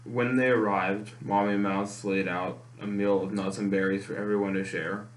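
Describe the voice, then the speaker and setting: A man's voice: on the low side, level tone, moderate pace. A man reading a children's picture book aloud in a storytime style. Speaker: storytime reader. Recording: echoey room with clear background noise.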